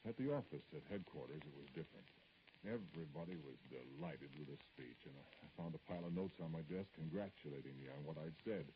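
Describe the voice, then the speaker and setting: A very deep-voiced, troubled man confides his growing dread in an old radio horror drama. He speaks haltingly and broodingly, with an irritable edge. The recording is dull, with faint noise. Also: animated. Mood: brooding, uneasy. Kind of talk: old-time radio horror acting, first-person narration.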